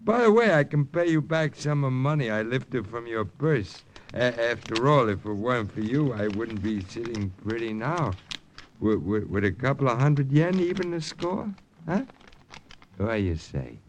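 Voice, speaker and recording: A smug, sly young man played by an actor in an old radio thriller. His falsely casual needling slides up and down in pitch, with the odd stammer, on a vintage broadcast recording. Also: expressive, moderate pace, a little hiss or hum, natural voice. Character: smug, sly, weaselly young brother turned informer. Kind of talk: 1940s-50s radio drama acting.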